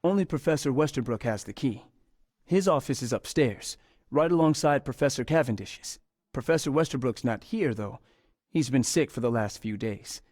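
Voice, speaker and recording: A man's voice: medium pitch, lively intonation, normal speed, darkish tone, dry recording, clean studio sound, close to an everyday voice. An actor playing an earnest university scientist in a video game, polite and a little hesitant as he explains things. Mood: worried. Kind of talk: video game dialogue acting.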